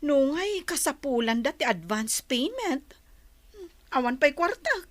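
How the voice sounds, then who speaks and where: A stubborn, carefree wife with a gambling habit in a radio drama. Her voice is high and swings in lively pitch, recorded close and dry. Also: unhurried, no room sound. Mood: curious, scheming. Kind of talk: Ilocano radio drama acting.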